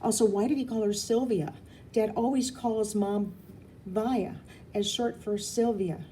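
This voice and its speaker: Woman reading a kids book out loud, soft dark tone, dramatic, faint noise in the background. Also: medium pitch, steady tone, unhurried. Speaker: audiobook reader. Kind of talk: expressive storybook narration, first person as a girl.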